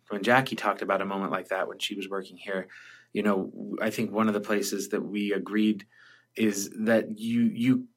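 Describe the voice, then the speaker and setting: Male podcast host, lowish voice, rambling questions with lots of restarts. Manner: rambling, restarts sentences often. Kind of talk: casual workplace podcast conversation.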